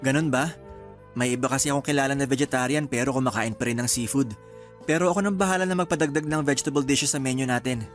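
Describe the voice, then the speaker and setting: An actor playing an easygoing, accommodating young fiancé in a Filipino radio drama, with a casual, agreeable manner. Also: male voice, medium pitch, fast, faint music behind, close to an everyday voice. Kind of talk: Tagalog radio drama dialogue.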